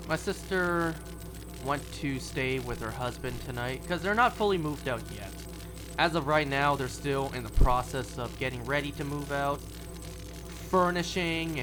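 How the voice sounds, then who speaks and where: A young man chatting casually and rambling through gameplay commentary, with wry little asides. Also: mid-range voice. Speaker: streamer.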